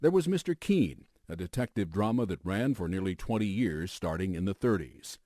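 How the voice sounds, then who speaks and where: A man's voice: low voice, expressive, normal speed, dark tone, no echo, clean studio sound. An American man hosting a radio documentary, reading scripted narration as a news anchor would.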